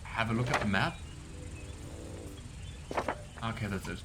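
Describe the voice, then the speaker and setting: A young man casually commenting on a game he plays, musing aloud and unsure, often trailing into low mumbles. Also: a little slow, heavy background noise. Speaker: let's play host.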